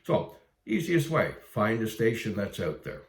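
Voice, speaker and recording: A man's voice: fairly low pitch, big pitch swings, a little slow, roomy sound. A Canadian man giving a casual explainer for beginners in a plain-spoken, instructive way. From Ontario, Canada. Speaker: amateur radio video presenter. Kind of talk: casual explainer for new hobbyists.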